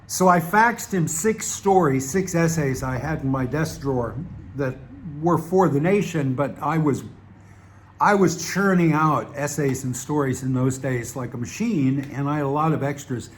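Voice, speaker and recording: A man with a Southern American accent talks to his viewers in a loose, rambling monologue. His voice sits a little high for a man, and he drifts between dry asides, fillers and self-corrections. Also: normal speed, slight background noise. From Southern United States. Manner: wry, digressive, many fillers and restarts. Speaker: channel host.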